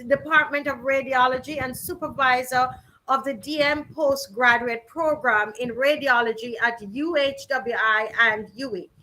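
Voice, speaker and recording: A middle-aged woman hosts and introduces guests in a loose, chatty way, filling pauses with plenty of ums and restarts. Her voice sits high, with a bright edge. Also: low-quality recording. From Barbados. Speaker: host of a virtual rally. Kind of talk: casual hosting and introductions.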